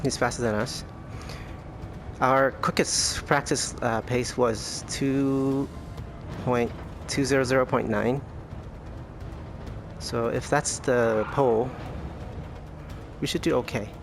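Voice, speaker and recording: A man giving low-key, casual commentary on his sim race, thinking aloud, his voice worn from losing it. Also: fairly even intonation, slow pace, vocal fry, pauses often. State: losing his voice, clearing throat. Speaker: sim racing streamer. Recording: music and car engines behind. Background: racing game music and engine sound.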